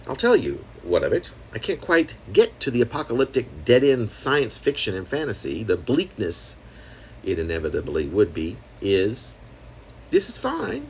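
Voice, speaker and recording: Man, low-pitched voice, poet reading own work, lively swooping intonation, wry conversational delivery, faint room noise. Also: normal speed. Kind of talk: spoken reading of experimental prose poem with asides.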